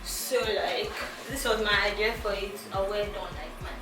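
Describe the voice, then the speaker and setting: A woman's voice: medium pitch, steady tone, moderate pace. A young Nigerian woman with a Nigerian accent, chatting giggly and casually through a clothing try-on haul, thinking aloud with restarts. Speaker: fashion vlogger. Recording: echoey room, mic at a distance, music behind.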